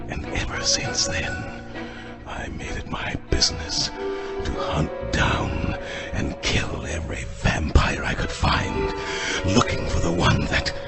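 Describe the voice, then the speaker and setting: Grim driven vampire hunter, creaky voice that swings all over, radio play with music under it. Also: male voice, fairly low pitch, full character voice. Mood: bitter, driven. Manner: intense, urgent, dramatic. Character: obsessed vampire hunter doctor, grim, driven, persuasive man. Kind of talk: radio horror-comedy acting.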